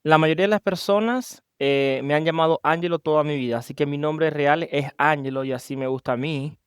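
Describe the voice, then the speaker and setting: A man's voice: fairly high pitch, brisk, clear, resonant tone, dry recording, clean studio sound. A man from Venezuela with a Venezuelan Spanish accent, talking about himself as a podcast guest in a casual interview. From Maracaibo, Venezuela. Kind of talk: personal storytelling in a casual interview.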